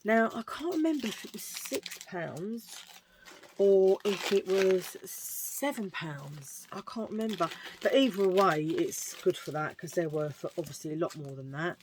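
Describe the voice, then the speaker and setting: Husky-voiced chatty woman. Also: lively intonation, measured pace, darkish tone, slight background noise, poor audio. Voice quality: husky, low voice. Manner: rambling, self-mocking, chatty with fillers. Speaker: vlogger showing a thrift haul. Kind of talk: casual chatty haul video.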